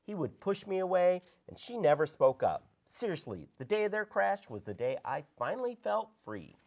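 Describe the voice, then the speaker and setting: A man's voice: high voice, big pitch swings, normal speed, creaky, darkish tone, exaggerated voice. A man playing a vain, cocky male rock star with a slangy way of talking in a script read. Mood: bitter. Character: vain, self-absorbed male rock star, boastful and prickly. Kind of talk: script table read acting.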